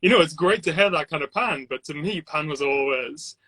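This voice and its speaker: A man's voice: on the high side, a little fast, bright, forceful tone, breathy edge, no echo. A man who grew up in Trinidad, chatting casually as a guest and telling his story with many fillers and restarts. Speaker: guest, sound engineer and videographer. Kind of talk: casual chat on a video call. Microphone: Bluetooth headphones, then computer mic.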